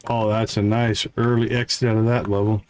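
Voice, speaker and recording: A man's voice: fairly low pitch, flat delivery, a little fast. A man giving casual, playful livestream commentary as he plays a video game, often muttering to himself. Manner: playful, talks to himself, chants little sound words.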